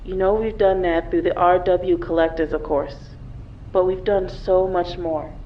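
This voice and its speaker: A woman's voice: fairly low pitch, fairly even intonation, measured pace, a little hiss or hum, natural voice. A woman playing an earnest, solemn mother in her thirties, leaving a recorded message in an audio drama. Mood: earnest, proud. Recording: dull, lo-fi recording.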